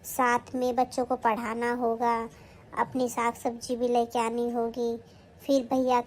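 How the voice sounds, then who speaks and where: A woman's voice: on the high side, monotone, unhurried, a little mellow, slight background noise, close to an everyday voice. A woman acting a sly, newly married young daughter-in-law who coaxes and pleads in a family skit. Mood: plotting. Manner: coaxing, plotting, pleading.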